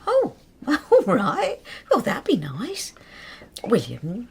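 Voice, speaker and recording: Timid, fluttery English wife. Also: female voice, fairly high pitch, wildly swooping pitch, extremely slow, creaky, a little hiss or hum, exaggerated voice. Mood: timid coaxing. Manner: meek, hesitant, fluttery. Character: timid, anxious, downtrodden middle-aged English wife and mother. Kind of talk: radio drama acting.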